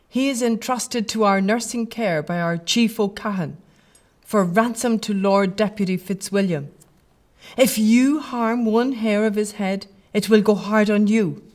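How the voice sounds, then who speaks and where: A woman's voice: medium pitch, a little mellow, lightly acted. A woman playing a brave, tender young Gaelic clanswoman in a period audio drama, with earnest, poetic phrasing. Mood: defiant.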